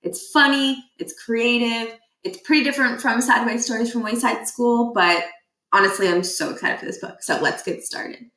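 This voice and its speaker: A woman reading a children's book aloud expressively, with deliberate emphasis and a playful deadpan touch. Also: a little high. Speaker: read-aloud storyteller. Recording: echoey room.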